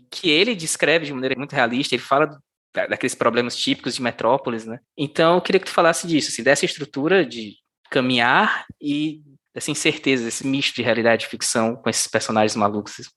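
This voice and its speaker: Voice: mid-range voice, animated, a bit bright. Thoughtful podcast interviewer. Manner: thoughtful, slow, summarizing before asking. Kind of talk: interview questions.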